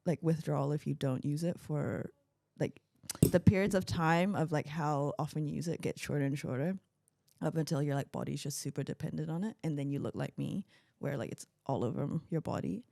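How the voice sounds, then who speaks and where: A woman's voice: low voice, moderate pace, gravelly, dark tone, no room sound, clean studio sound. A young woman co-hosting a podcast, telling stories in casual, bubbly chat. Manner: chatty, bubbly storyteller with laughs. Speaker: podcast co-host.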